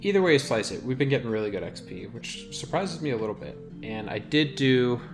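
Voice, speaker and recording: A man's voice: mid-range voice, lively intonation, fairly quick, over music. A man giving casual, rambling gameplay commentary as a video host, thinking aloud as he plays.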